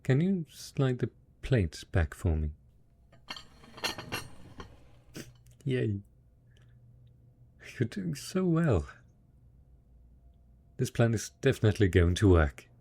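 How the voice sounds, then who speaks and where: A man playing a devoted, tender husband in a post-apocalyptic audio drama, speaking in a gentle, coaxing, husky voice with plenty of endearments. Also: mid-range voice, sing-song, takes it slowly, long pauses, heavily acted. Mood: excited, encouraging. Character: devoted, tender, hopeful husband caring for ghoul spouse after apocalypse. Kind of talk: roleplay audio drama, intimate monologue addressed to listener.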